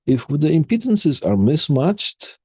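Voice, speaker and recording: A man giving an informal technical lecture as an instructor, explaining step by step in a halting way and putting questions to students. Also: fairly high pitch, sing-song, mellow, slightly rough, no echo, studio quality.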